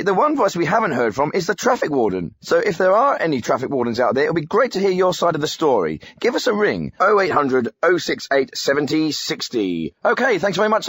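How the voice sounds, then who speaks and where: A man's voice: fairly high pitch, a bit bright, husky, no echo, studio quality, exaggerated voice. An English actor playing a chatty, eager male host of a spoof radio phone-in.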